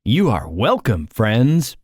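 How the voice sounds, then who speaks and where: A woman voicing a friendly, eager talking dictionary in a children's cartoon, announcing translations in a deliberate, instructive way. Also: extremely low pitch, wildly swooping pitch, a little mellow, husky, no echo, studio quality, heavily acted. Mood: helpful.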